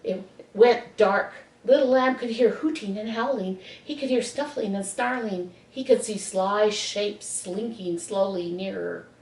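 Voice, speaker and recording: A woman reading a children's bedtime story expressively, with dramatic pauses. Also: mid-range voice, a little mellow. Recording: echoey room, distant mic.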